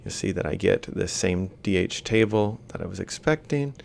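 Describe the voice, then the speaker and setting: A man giving an informal, step-by-step lecture, explaining haltingly as he works through examples. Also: sits low, gravelly, darkish tone. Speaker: university robotics lecturer. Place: screen-recorded lecture voice-over.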